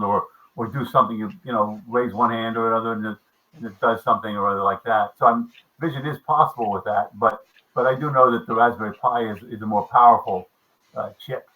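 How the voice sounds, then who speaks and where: This is a man in his seventies talking loosely in an online group meeting. His voice is bright and forward, and he wanders through his points with plenty of restarts and fillers. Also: medium pitch, poor audio. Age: 70s. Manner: rambling, many restarts and fillers. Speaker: maker club member. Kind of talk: casual video meeting chat.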